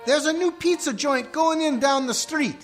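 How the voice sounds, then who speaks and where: Man doing an eager, extremely high-pitched sidekick. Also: normal speed, soft background music. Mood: worried. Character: eager, earnest pizza parlor worker flattering his boss. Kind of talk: comedic radio play acting.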